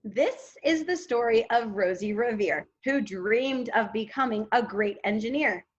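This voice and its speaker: High-voiced woman reading a rhyming children's story. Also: animated, measured pace, breathy edge, muffled recording. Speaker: storytime co-host reading a picture book.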